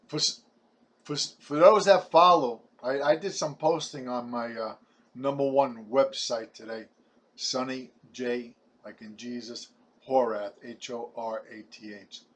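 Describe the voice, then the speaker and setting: Casting: man in his 70s, Brooklyn New York accent, halting chatty preacher-style vlogger, mid-pitched. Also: fairly even intonation. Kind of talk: rambling personal testimony to camera.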